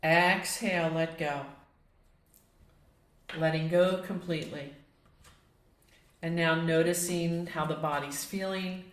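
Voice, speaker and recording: A woman leading a guided yoga relaxation as the instructor, speaking in a gentle, measured, inviting way. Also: on the low side, fairly even intonation. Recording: echoey, reverberant room.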